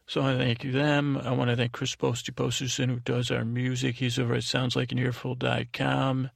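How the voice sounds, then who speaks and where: A man with a very creaky, gravelly voice hosts a podcast. He talks casually and loosely, often restarting phrases and drifting between asides. The sound is dry, with no room around him. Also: medium pitch, completely flat delivery, dry recording. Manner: halting, meandering, repeats and restarts phrases. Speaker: podcast host. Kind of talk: rambling casual monologue with thank-yous.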